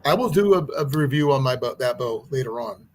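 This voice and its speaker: A man's voice: mid-range voice, brisk. Guy chatting on a call about his gear, keeps restarting, average voice, bit of noise. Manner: rambling, restarts, excited about bows. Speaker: channel host. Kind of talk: casual chat.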